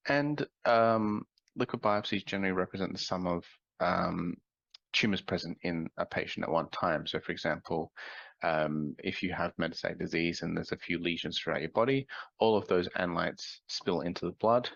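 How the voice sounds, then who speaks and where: A man presenting a scientific conference talk, sounding nasal from a cold, explaining in a measured way with frequent ums. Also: low-pitched, creaky, a bit bright, dry recording, highs cut off.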